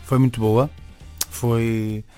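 A man's voice: medium pitch, level tone, very slow, dark tone, clear, resonant tone, soft background music. A man from Venezuela, a native Spanish speaker, chatting casually as an interview guest, hesitating and repeating words. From born in Venezuela, in Portugal from age ten.

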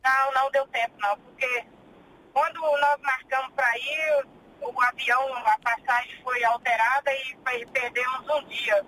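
A very high-pitched woman with a northern Brazilian accent chats as a guest, explaining informally and repeating herself. Her bright voice comes from a distance, over a noisy call from a moving vehicle. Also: fairly even intonation, normal speed. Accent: northern Brazilian Portuguese. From Pará, northern Brazil. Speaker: interview guest. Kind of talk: casual phone chat. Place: inside a vehicle on the road.

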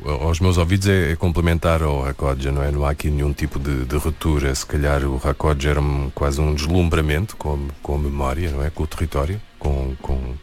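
A man's voice: a bass voice, darkish tone. A man interviewing a musician, choosing his words with care. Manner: measured, searching for words. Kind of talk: interview questions.

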